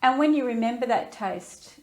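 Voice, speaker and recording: A woman interviewing an older man, asking gentle, measured follow-up questions. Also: a little high, expressive.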